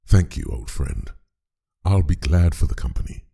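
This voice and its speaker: Very deep gravelly weary priest. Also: male voice, expressive, moderate pace, mellow, exaggerated voice. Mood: earnest, dutiful. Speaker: voice actor. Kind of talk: audio drama acting.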